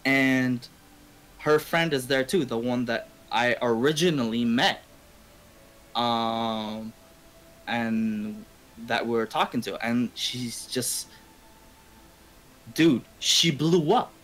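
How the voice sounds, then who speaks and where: A man's voice: mid-range voice, takes it slowly, long pauses, a bit bright, low-quality recording. A young man from Canada telling a rambling, slangy, profanity-heavy story casually on a game stream. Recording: game music under the voice. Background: video game music.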